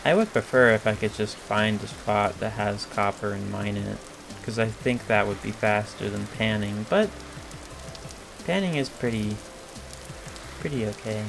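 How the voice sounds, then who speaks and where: A man with a hoarse, gravelly voice talks slowly and unhurriedly, thinking aloud as he plays. He hesitates often and fills gaps with ums. Game music plays clearly underneath him. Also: fairly low pitch, rough recording. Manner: slow, hesitant thinking aloud with many fillers. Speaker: gameplay video creator. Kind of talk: casual commentary over gameplay. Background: game music and rain sounds.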